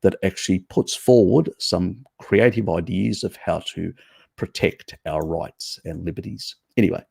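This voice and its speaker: An Australian man hosts a live-stream chat in a deep, gravelly voice that sounds a little croaky. He rambles through his opinions in a casual, conversational way. The sound is dry and close to the mic. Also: unhurried, darkish tone, dry recording. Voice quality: gravelly, low. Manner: rambling, opinionated, greets viewers by name. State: slightly croaky voice.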